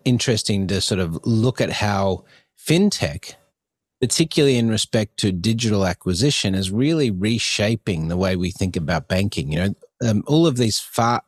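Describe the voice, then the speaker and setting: A man's voice: fairly low pitch, no echo, clean studio sound. A man hosting an interview podcast in a conversational, discursive way. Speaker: podcast host.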